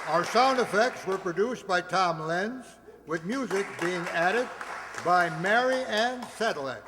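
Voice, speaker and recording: A male announcer with a high voice presents a cast to a live audience in a jokey, showman-like way. The hall rings with long reverb and clear crowd noise. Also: expressive, a little slow.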